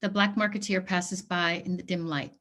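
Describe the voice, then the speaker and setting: A woman's voice: fairly low pitch, steady tone, moderate pace, fairly bright tone. A woman reading stage directions as scripted narration for a play.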